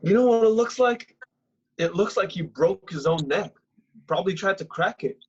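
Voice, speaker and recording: A man plays a blunt, cocky comedy housemate with a husky, slightly high voice. The recording is dull and lo-fi. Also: expressive, normal speed. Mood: exasperated. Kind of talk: comedic script table read.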